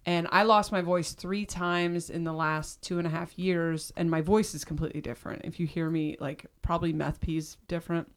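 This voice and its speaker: A woman with a raspy, deep voice that cuts out in spots, chatting loosely and candidly as a podcast host. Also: steady tone, normal speed, hardly any pauses. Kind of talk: casual chat.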